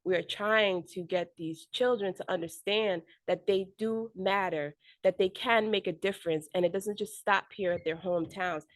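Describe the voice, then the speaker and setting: A woman's voice: medium pitch, fairly even intonation, moderate pace, hardly any pauses, dry recording. A woman in her forties speaking as a school board candidate at a neighborhood meeting. She gives an unprepared, earnest speech that halts often with ums and restarts.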